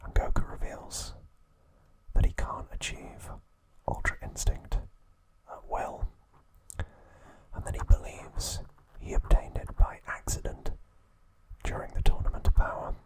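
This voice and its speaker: A man hosting an ASMR channel, whispering trivia softly and gently. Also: low-quality recording. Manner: soft unvoiced whisper, slow and gentle.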